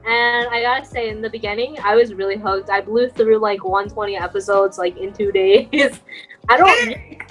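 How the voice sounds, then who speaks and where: A woman's voice: medium pitch, normal speed, a bit bright, soft background music, low-quality recording. A young adult woman co-hosting a casual podcast among friends, talking in an earnest, self-deprecating, enthusiastic way. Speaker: podcast co-host.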